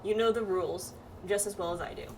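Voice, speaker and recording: A woman voicing a serious, dutiful young pilgrim from a fantasy game, in an earnest, measured manner. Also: mid-range voice, a little mellow, recorded from a distance, slight background noise, natural voice, rough recording. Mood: stern.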